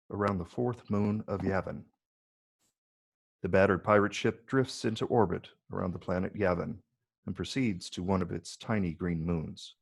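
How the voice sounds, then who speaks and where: Brief: male narrator for screenplay directions, low voice with husky grain, measured delivery. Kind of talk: scripted narration.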